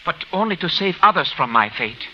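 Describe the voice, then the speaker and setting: A man's voice: on the high side, big pitch swings, brisk. A male actor playing an anxious, earnest wronged man in old radio drama, speaking formally and pleading with careful phrasing. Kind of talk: 1940s-50s radio drama acting. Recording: muffled old radio recording, faint noise.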